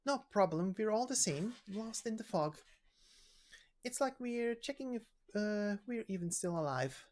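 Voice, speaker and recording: Man voicing a flippant young game-story companion, raised pitch, casual and breezy, lively intonation, faint music. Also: unhurried. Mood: reassuring. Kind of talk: video game story voice acting.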